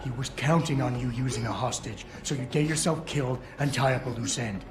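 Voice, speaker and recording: An actor as a terse security agent, echoey. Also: male voice, medium pitch, brisk, natural voice. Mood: stern, scornful. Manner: terse, dry, controlled. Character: cool, hard-edged male security agent negotiating. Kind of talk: video game voice acting. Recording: echoey game audio under loud music.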